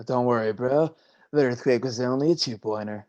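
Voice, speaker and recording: A man's voice: medium pitch, normal speed, a little mellow, dull audio, natural voice. A man playing a laid-back, teasing young sea god with surfer slang in a staged play reading.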